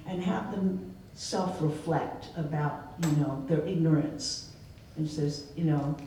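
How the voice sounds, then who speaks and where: A woman's voice: sits low, mellow. A woman keynote speaker answering an audience question in a reflective, conversational way. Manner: reflective, conversational, storytelling with laughs. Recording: echoey hall from far off, faint noise.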